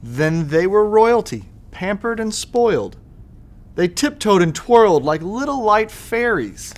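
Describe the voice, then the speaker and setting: A man reading to children as a storybook narrator, expressive and sing-song with the rhymes. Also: high-pitched, measured pace. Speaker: school superintendent reading to children.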